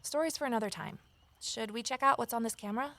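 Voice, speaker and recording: An actress playing a wary, determined amateur sleuth in a naturalistic audio drama, conversational and gently wry. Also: female voice, a little high, fast talker, background noise, close to an everyday voice. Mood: inquisitive, wry. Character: Evelyn, wary determined former journalist turned amateur sleuth. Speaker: lead character and first-person narrator.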